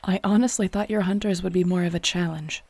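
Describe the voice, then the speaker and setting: A woman performs a resolute wolf shifter heroine in a fantasy audio roleplay. Her voice is thick with fry and fairly flat in pitch, steady and defiant. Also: fast talker, no room sound. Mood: triumphant, cold. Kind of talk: audio roleplay drama acting.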